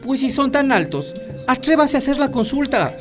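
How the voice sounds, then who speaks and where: A firm community leader. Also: male voice, very high-pitched, expressive, music in the background. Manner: firm, measured, ironic. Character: steady male community leader defending his land.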